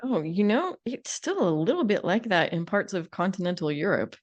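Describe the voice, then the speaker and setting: A woman's voice: mid-range voice, sing-song, moderate pace. A woman co-hosting a podcast in casual discussion, musing wryly and often breaking off to rephrase her thoughts. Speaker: podcast co-host.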